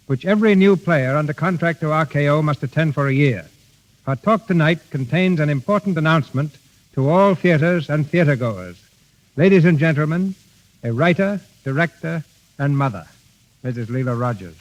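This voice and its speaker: A man's voice: on the high side, lively intonation, normal speed, poor audio. A man hosting a radio show with formal, scripted 1930s announcing, on a vintage broadcast recording.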